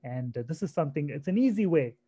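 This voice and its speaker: Soft-voiced man giving a webinar talk. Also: a little high, animated, fast, husky. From India. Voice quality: naturally soft voice. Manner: conversational, repeats himself, asks "right?" often. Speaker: webinar presenter. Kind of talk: online career webinar talk. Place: home room on a video call. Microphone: computer mic on a video call. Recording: dull lo-fi webinar audio.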